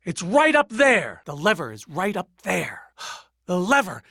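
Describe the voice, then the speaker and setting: A man's voice: sits high, huge pitch swings, unhurried, gravelly, bright, forceful tone, no room sound, clean studio sound, full character voice. A man playing an eccentric, boastful yet nervous middle-aged architect-adventurer in a video game, theatrical and fussy, with hesitant asides. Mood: alarmed.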